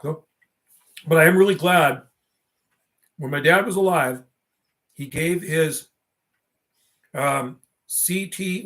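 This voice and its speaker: A middle-aged man with a slightly higher-than-usual voice hosts a casual livestream. He rambles in loose, slangy phrases, reminiscing as if chatting with friends. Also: fairly bright tone. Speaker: livestream host.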